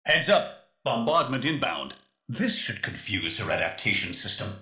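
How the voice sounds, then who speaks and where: A man's voice: fairly low pitch, sing-song, normal speed, bright, forceful tone, reverberant. A man playing an earnest, formal tech expert in a rebel crew, giving precise, urgent tactical instructions as video game mission dialogue. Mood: excited urgency.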